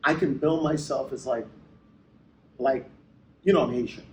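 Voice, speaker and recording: A man's voice: mid-range voice, unhurried, echoey room, slight background noise, poor audio. A man co-hosting a live talk show, chatting casually and teasing his co-host, often repeating and restarting his words. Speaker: theater owner and co-host.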